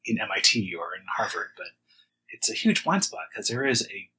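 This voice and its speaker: Male interviewer, low pitch with a tense, sharp edge, measured and understated delivery. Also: very animated, husky, low-quality recording. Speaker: podcast host. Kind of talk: casual interview.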